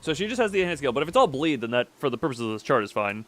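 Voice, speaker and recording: Male game streamer, informal and halting, very animated pitch. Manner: halting, informal, mildly sweary. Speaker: live streamer. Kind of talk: casual game-stream commentary, reading dialogue aloud.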